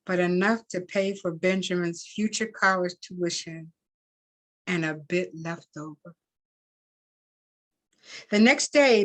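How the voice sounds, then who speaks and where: A woman reads a story aloud at a slow, measured pace. Her delivery is expressive and deliberate, with a halting repeat of words here and there, in a medium-pitched voice. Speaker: reader and event host. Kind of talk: expressive read-aloud of fiction.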